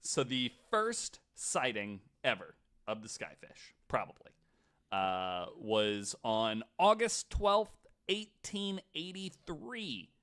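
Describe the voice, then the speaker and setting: A man co-hosting a podcast, telling a story casually in a skeptical, wry, somewhat halting way. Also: mid-range voice, sing-song, fairly bright tone. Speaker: podcast co-host.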